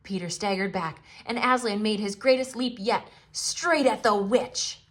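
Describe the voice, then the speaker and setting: A woman giving a theatrical read-aloud of a children's storybook, expressive and full of dramatic pauses. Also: mid-range voice, slight background noise.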